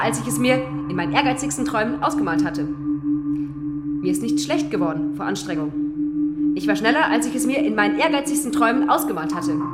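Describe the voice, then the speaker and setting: A driven runner played by a woman insists on her achievement in broken, repeated phrases for an avant-garde radio play. Her high voice swoops widely, in a roomy echo with loud music behind it. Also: close to an everyday voice. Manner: insistent, fragmented repetition of short phrases. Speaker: actress.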